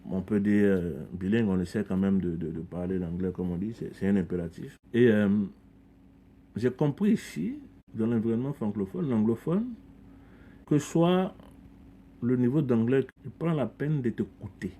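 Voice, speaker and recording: A man's voice: lowish voice, lively intonation, normal speed, warm tone. A middle-aged man from Ivory Coast, a community leader speaking as a podcast guest, talking earnestly and reflectively, often repeating words as he restarts phrases. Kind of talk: conversational interview.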